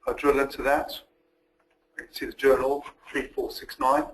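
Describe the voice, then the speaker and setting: A man giving a methodical, step-by-step walkthrough as a software demonstrator in a recorded webinar. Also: mid-range voice, very animated, moderate pace, vocal fry, rough recording. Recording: noticeable background noise.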